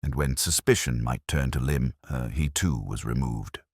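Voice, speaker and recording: Man reading as a very deep, commanding detective. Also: husky, no room sound, clean studio sound, full character voice. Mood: accusing, forceful. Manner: deliberate, declarative, authoritative. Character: commanding, shrewd male detective genius.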